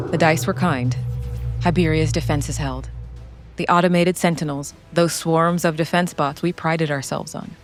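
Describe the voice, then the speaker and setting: A woman giving scripted, dramatic narration as a storyteller, solemn and measured in short phrases. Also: deep voice. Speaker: narrator and solo game player.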